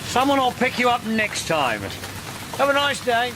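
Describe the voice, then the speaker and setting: An officious British bobby with a high voice and lively inflection. He is sneering and sarcastic. The radio drama sound has faint street noise. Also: unhurried, exaggerated voice. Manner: sarcastic, needling. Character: sneering, officious policeman on the beat.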